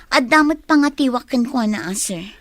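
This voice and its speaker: A high pitched young filipina secretary, eager n resentful, radio drama, super dry sound. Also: female voice, expressive, moderate pace, a bit bright, no room sound. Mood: eager, deferential.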